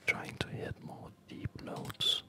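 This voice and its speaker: A man giving hushed, unhurried and rambling ASMR commentary over a game he is playing, speaking in a whisper. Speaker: gaming video host.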